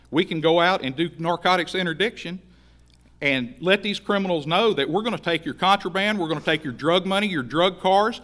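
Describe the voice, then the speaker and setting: A man's voice: a little high, fairly bright tone. A man answering as a candidate at a forum, assertive and repeating phrases for emphasis. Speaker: constable candidate.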